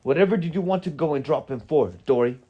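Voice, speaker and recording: Storybook wizard, voiced by a male reader: stern, protective, scolding, raised pitch and emphatic delivery. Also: sing-song, brisk, slightly rough, phone-quality sound. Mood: angry, scolding.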